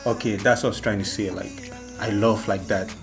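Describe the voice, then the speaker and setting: A man's voice: fairly low pitch, fairly even intonation, brisk. A young man co-hosting a casual video chat in a small room, teasing and playful with loose rambling talk. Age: young adult. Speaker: vlog co-host. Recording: music playing behind.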